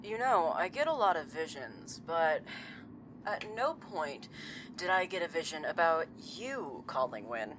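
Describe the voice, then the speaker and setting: A woman playing a blunt, sardonic seer in an audio drama, speaking in a dry, deadpan manner. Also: low-pitched, measured pace, background noise. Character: blunt, sardonic woman who sees visions.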